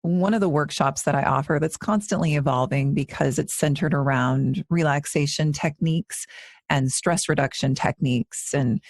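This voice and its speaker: A woman's voice: low-pitched, moderate pace, dry recording, clean studio sound. A woman in her forties, a yoga teacher co-hosting a podcast, talking in an earnest, reflective way with frequent restarts. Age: 40s. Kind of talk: casual podcast conversation.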